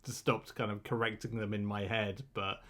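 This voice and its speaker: A man co-hosting a podcast in casual chat, relaxed and wry, often hesitating and restarting. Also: lowish voice, normal speed. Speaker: podcast co-host.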